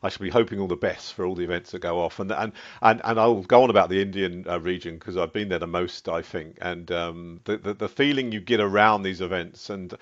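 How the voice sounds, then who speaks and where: Man, low voice, chatty interviewer, lo-fi audio. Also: steady tone, a little fast, hardly any pauses. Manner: chatty, jumps up in pitch on questions. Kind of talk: casual interview. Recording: muffled lo-fi recording.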